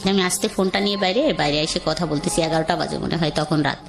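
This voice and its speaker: A woman's voice: low-pitched, quick pace, gravelly. A woman with a Bangladeshi accent telling her own life story in a conversational, rambling, colloquial way. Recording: music under the voice.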